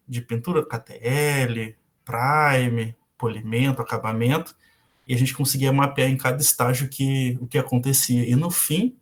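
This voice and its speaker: A young man with a Brazilian accent, giving a guest lecture over a video call and pausing often on fillers and restarts. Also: mid-range voice. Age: young adult. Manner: hesitant, frequent filler "é" and restarts. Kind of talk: casual online lecture.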